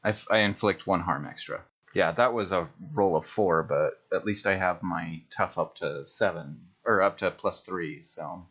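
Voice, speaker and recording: A man with a lowish voice talks casually as a player in a tabletop roleplaying game. He works through his moves and rolls out loud. The recording is a little dull and lo-fi. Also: moderate pace, hardly any pauses.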